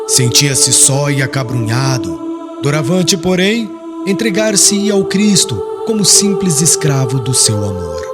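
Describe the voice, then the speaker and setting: A man reading a religious story in a solemn, expressive literary narration. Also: a little high. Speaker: audiobook narrator.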